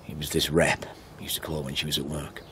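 A man in a radio drama playing a wounded, halting, self-deprecating husband in his thirties. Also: extremely low pitch, level tone, bright, forceful tone, slight background noise. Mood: hurt, bitter. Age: 30s. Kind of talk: naturalistic radio drama acting.

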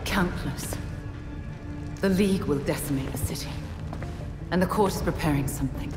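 A woman's voice: low-pitched, normal speed, close to an everyday voice. An actress playing a cool, cryptic female assassin leader who speaks evasively, in a game cutscene. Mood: cold. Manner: cool, evasive, coolly mocking. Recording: roomy reverb with music score.

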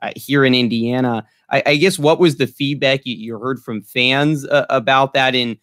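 Young adult man, medium pitch, dry sound, podcast interviewer, earnest sports questions. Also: fairly bright tone, dry recording. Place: small room at home, indoors.

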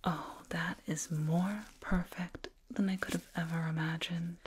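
A woman playing a soothing, proud alien dentist in an ASMR roleplay, whispering gently and repetitively up close. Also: fairly low pitch, steady tone, unhurried, natural voice. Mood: proud, pleased. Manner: gentle, repetitive, reassuring murmur. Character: soothing, proud alien dentist with eerie delight in sharp teeth. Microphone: binaural mic, up close. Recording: close binaural mic, clear noise.

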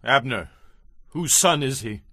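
Gruff ancient monarch, commanding and emphatic, very animated pitch, scripture drama. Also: male voice, measured pace, no room sound, studio quality, full character voice. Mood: curious. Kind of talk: dramatized audio Bible acting.

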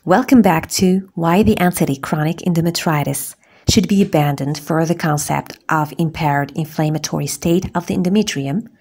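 A woman from Algeria, a gynecologist, giving a scripted medical lecture as a voice-over on slides, measured and precise. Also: low-pitched, a little mellow, husky. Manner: measured, deliberate, precise enumeration.

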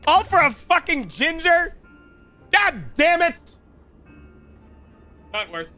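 A man's voice: very high-pitched, extremely slow, faint music behind. A young man with a nasal voice, swearing and joking through live gameplay commentary on stream from a room at home. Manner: profane, excitable, jokey.

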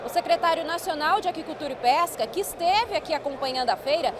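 A woman TV reporter with a Brazilian accent, narrating a news report in a measured, clear broadcast manner. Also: sits high, animated, noisy background. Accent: Brazilian Portuguese. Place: indoor trade fair hall. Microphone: handheld microphone.

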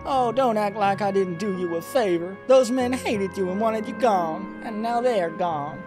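A seductive succubus woman, played by a man in a visual novel, speaks high over background music. The delivery is sultry and theatrical, smug and taunting, with a predatory edge. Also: unhurried. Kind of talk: reading visual novel dialogue aloud. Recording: music behind the voice.

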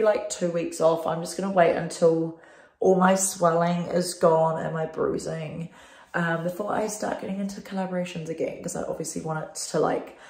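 A woman's voice: on the low side, vocal fry, a little mellow. A young New Zealand woman vlogging casually to her phone, her voice blocked up and nasal, her talk full of "like" and "um". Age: young adult. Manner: chatty, lots of "like" and "um". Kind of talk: casual vlog to camera. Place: small bathroom indoors. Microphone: phone camera at arm's length. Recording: echoey bathroom.

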